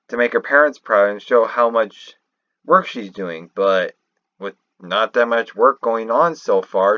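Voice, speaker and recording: Male game-lore commentator, unhurried and rambling, restarts often, dry but slightly muffled lo-fi home recording. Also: lowish voice, fairly bright tone, no echo. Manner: halting, rambling, often restarting mid-thought. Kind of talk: unscripted theorizing commentary over a screen recording.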